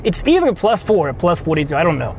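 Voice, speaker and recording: A young Japanese man speaks English with a Japanese accent, casually and excitably, swearing freely as he chats with his viewers. His voice sits high and leaps all over the place. Loud street traffic runs behind him. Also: fast. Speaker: streamer. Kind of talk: casual live-stream chat. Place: outdoors on city streets.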